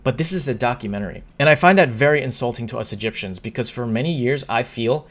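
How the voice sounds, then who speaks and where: A man's voice: medium pitch, fast. Earnest man stumbling through an upset rant. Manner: earnest, stumbling, restarts and trips over words. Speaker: YouTuber. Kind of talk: talking-to-camera opinion vlog.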